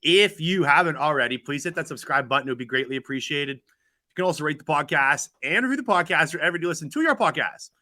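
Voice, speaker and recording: A man's voice: on the high side, expressive, fast, sharp tone, no echo, clean studio sound. A Canadian man hosting a podcast, doing a casual conversational interview. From Ontario, Canada.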